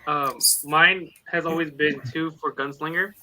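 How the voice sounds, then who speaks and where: A man's voice: on the high side, fairly even intonation, measured pace, bright, forceful tone, poor audio. A man in a casual book discussion, rambling along and voicing quotes as he tells anecdotes. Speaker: read-along panelist. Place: online live video chat.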